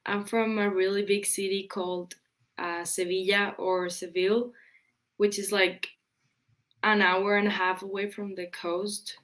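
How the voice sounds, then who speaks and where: A woman's voice: medium pitch, level tone, unhurried, a bit bright. A young woman from Spain, a college student, answering interview questions casually and hesitantly, with many fillers. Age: young adult.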